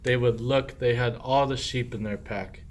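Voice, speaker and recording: A man's voice: mid-range voice, steady tone, moderate pace, natural voice. A man acting a sly, henpecked husband and sheep thief in a comic verse radio play, declaiming in rhyme. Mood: blustering, conspiratorial.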